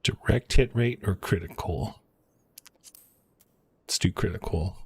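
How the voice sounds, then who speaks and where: A man's voice: deep voice, slow pace, gravelly, darkish tone, breathy edge. A man casually commentating on a game live stream and reading dialogue aloud in an offhand, slangy way.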